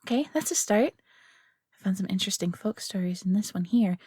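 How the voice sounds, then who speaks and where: A woman's voice: mid-range voice, animated, brisk, darkish tone, slightly rough, no room sound, clean studio sound. A woman playing a friendly, nervous fox companion in a fantasy audio roleplay, speaking to the listener in a hesitant, chatty way. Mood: awkward, kind.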